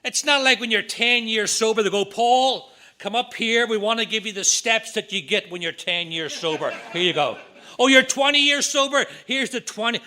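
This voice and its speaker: A middle-aged man with a Northern Irish accent, giving an earnest talk at a recovery meeting with quick asides. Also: high-pitched, pushed and bright, slight background noise. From Northern Ireland. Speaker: AA speaker. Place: meeting room.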